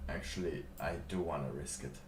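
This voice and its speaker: A man live streaming, chatting casually in a halting, rambling way with lots of fillers. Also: very deep voice, background noise. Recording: roomy, distant mic with faint ambience.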